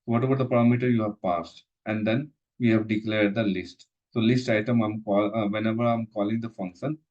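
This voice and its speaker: A man teaching programming, pausing often and repeating phrases with many fillers. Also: fairly low pitch, steady tone, a little mellow, muffled recording. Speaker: instructor. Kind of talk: live coding lesson walkthrough.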